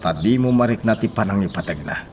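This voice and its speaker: An actor playing a concerned father gravely counseling his daughter in a radio drama. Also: on the low side, animated, fast talker, darkish tone, a little hiss or hum, lightly acted. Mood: pleading.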